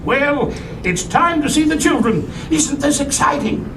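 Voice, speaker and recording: A man playing a gentle, courteous old Santa in a theatrical puppet show. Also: animated, a little slow, full character voice. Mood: kindly. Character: kindly, courteous old Santa, cheerful and particular. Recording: echoey, distant recording with rumbling noise.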